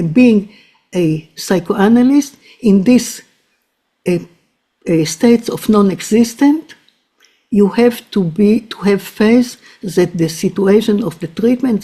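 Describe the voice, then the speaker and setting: A woman psychoanalyst giving a halting academic talk, full of restarts and fillers. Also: medium pitch, mellow. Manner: hesitant, many restarts and fillers. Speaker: psychoanalyst presenting a paper.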